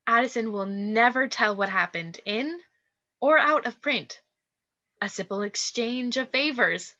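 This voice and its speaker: A woman's voice: mid-range voice, sharp tone. A woman acting in a radio play as a scheming young actress, falsely meek and coolly blackmailing. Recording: dull, lo-fi voice track.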